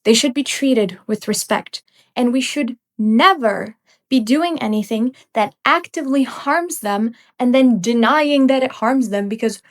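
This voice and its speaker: A woman's voice: on the high side, a little slow. Emphatic young woman ranting, slightly congested. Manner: emphatic and blunt, with occasional laughs. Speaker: podcast host. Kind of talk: unscripted ranting commentary.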